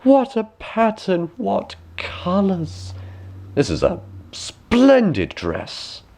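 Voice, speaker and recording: A man's voice: sits high, wildly swooping pitch, drawn-out words, gravelly, darkish tone, pitched above the performer's own voice, exaggerated voice. A man voicing fawning storybook courtiers who gush with exaggerated, false admiration.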